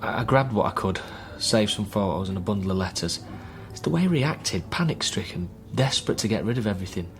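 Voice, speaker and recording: A man's voice: fairly low pitch, lively intonation, a little fast, hardly any pauses. An actor playing a worried, guarded British man in a naturalistic radio drama, speaking in an earnest, hesitant way. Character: worried, guarded divorced son caring for troubled father. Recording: faint music under radio drama.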